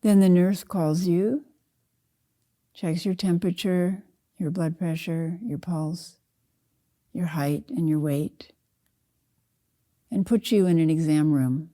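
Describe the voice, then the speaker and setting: An older woman guides a meditation in a low-pitched voice with a very soft, dark tone. She speaks deliberately, in short phrases, and leaves room between them as a teacher would. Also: fairly even intonation. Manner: measured, deliberate, spoken in short phrases with pauses. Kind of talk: slow guided meditation narration.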